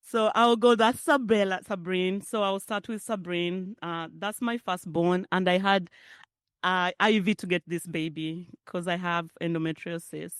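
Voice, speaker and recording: A woman's voice: lowish voice, fairly even intonation, a little slow, smooth, dry recording. Ugandan-accented woman giving a keynote speech. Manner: conversational, laughs often, makes off-the-cuff asides to the audience. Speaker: keynote speaker. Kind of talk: personal keynote speech at a public forum.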